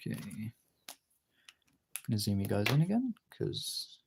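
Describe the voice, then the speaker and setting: A man's voice: fairly low pitch, big pitch swings, unhurried, creaky, very noisy. A man talking in an informal, rambling way, thinking aloud as he gives a casual art demonstration as a streamer. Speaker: streamer, artist. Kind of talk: casual chat while demonstrating drawing.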